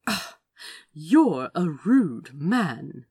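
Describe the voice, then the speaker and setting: A woman's voice: low voice, very animated, extremely slow, a little mellow, breathy edge, voice pushed down, full character voice. A woman voicing a playful, fussy man in an audio story, with a prim, mock-serious, teasing manner. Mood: tipsy, playful.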